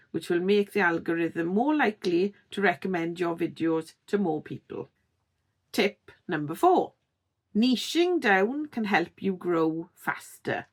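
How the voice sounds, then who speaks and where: A middle-aged woman with a strong Welsh accent, talking straight to camera as the host of her own tips channel in an encouraging, conversational way. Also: on the low side. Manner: encouraging, conversational, checks in with "okay?". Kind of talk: direct-to-camera tips video.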